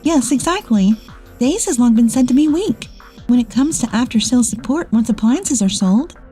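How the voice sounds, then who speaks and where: A woman's voice: a little high, animated, normal speed, mellow, faint music behind, natural voice. A woman voicing assertive office women in a dubbed comic drama. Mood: composed. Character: bitter, bossy female ex-boss, and calm capable female employee.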